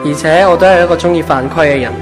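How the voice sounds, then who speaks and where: A man's voice: a little high, very fast, lightly acted. A man playing a kindly, easygoing ancient saint of love in a Hong Kong Cantonese radio drama. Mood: breezy, reassuring. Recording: background music under the voice.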